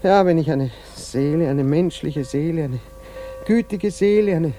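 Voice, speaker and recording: An actor in a German radio drama playing a courtly, smug, insistent suitor with a formal, halting manner. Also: male voice, fairly high pitch, mellow, faint music behind, natural voice. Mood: pleading, insistent.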